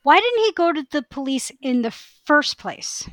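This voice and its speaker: A woman's voice: sits high, big pitch swings, measured pace, a bit bright, no echo, clean studio sound. A woman co-hosting a podcast in casual chat, with a wry, informal, opinionated way of talking. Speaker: podcast co-host.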